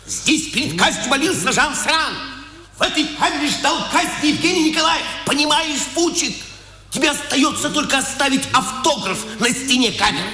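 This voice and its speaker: Very high-pitched, taunting jailer. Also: male voice, lively intonation, normal speed, bright, forceful tone, heavily acted. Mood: taunting, intense. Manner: theatrical, insistent, emphatic. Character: taunting jailer gloating over a condemned prisoner. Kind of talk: 1950s Soviet radio drama acting. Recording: echoey 1950s radio play recording.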